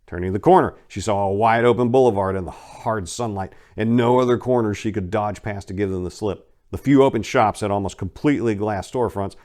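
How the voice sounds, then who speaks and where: Low-voiced man narrating gritty crime fiction. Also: a little mellow. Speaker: narrator, dramatic reader.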